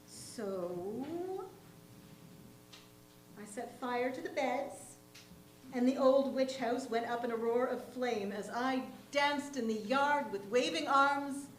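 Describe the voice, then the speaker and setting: An embattled, unhinged farm wife, acted by a woman confides her ruin in a theatrical monologue. Her fairly high voice lingers on words with emphasis, in a very echoey room. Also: takes it slowly. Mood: aggrieved, unsettled. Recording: echoey room with faint humming noise.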